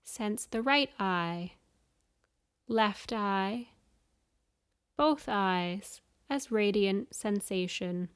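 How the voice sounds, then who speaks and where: A woman guiding a yoga nidra meditation, giving soothing, measured instructions with pauses between phrases. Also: medium pitch, clear, resonant tone. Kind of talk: guided meditation.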